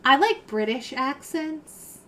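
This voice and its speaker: A woman's voice: high voice, sing-song, takes it slowly, fairly bright tone, slight background noise. A young adult woman co-hosting a casual podcast, telling rambling stories full of fillers. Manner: rambling, storytelling, many fillers. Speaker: podcast co-host. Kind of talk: casual chat.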